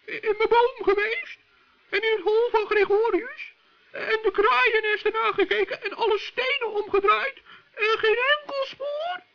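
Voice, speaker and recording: A man playing a plucky, bossy little forest gnome in a children's radio play, excitable and repeating himself with stammers. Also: unhurried, heavily acted. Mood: anxious questioning. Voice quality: high, squeaky, creaky. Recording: muffled old radio play recording.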